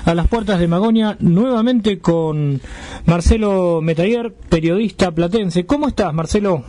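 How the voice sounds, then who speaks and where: A male radio host talks in a slightly high voice. He keeps the talk casual and steers his guest with probing follow-up questions. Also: measured pace, mellow, faint music behind. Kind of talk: radio interview.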